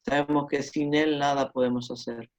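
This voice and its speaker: A man's voice: a little high, level tone, moderate pace. A man, a Bible teacher, sending hesitant greetings and leading a prayer. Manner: hesitant, repeats words, earnest. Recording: dull lo-fi sound.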